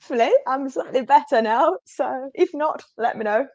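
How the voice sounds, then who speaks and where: A woman's voice: sits high, moderate pace, dull audio, a crowd in the background. A woman whose first language is Portuguese, answering interview questions in an earnest, emphatic way over a video call. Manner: earnest, emphatic, often says absolutely. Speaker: guest.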